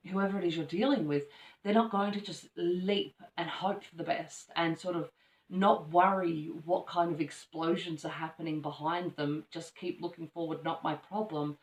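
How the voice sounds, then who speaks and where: A woman giving a casual tarot reading, rambling with many ums and restarts, her voice slightly croaky from allergies. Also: fairly low pitch, fairly even intonation, normal speed. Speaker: tarot reader. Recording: echoey room from far off the mic.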